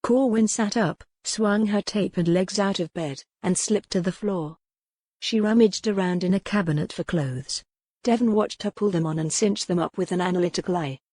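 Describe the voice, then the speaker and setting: A woman's voice: fairly low pitch, normal speed, dark tone, no room sound, studio quality. A woman reading scripted historical romance fiction as an audiobook narrator.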